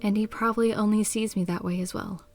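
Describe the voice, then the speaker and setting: A woman voicing a caring, loyal young woman in a visual novel, speaking with earnest, gentle candor. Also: mid-range voice, fairly even intonation, fast, faint music behind, lightly acted.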